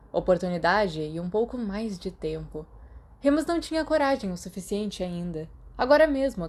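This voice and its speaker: A woman's voice: on the low side, darkish tone. A woman with a Brazilian accent reading a scripted fan fiction story aloud as an audiobook narrator.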